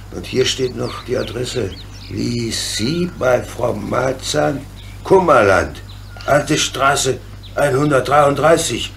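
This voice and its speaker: An actor playing a sensible, hearty locomotive driver with a creaky voice and plain-spoken manner in a children's radio play. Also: male voice, mid-range voice, a bit bright, slight background noise.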